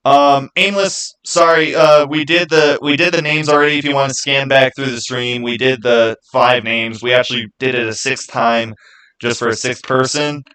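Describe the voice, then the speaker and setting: Halting man chatting on a livestream. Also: medium pitch, sharp tone, rough recording. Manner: halting, repeats words and phrases. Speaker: livestream host. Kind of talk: casual livestream chat with viewers.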